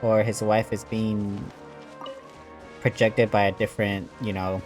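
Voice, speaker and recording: A man's voice: lowish voice, little pitch movement, unhurried. Casting: a man doing let's play commentary, laid-back and musing, game music faintly behind. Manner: casual, musing, filler-heavy. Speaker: gamer. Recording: voice over game audio with music.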